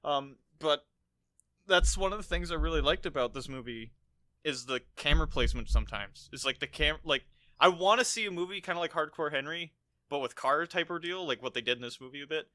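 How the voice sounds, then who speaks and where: A man's voice: on the high side, lively intonation, fairly quick, bright, forceful tone. A man co-hosting a podcast, talking about films in an informal, bantering, sweary way. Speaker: podcast co-host. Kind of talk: casual film discussion chat.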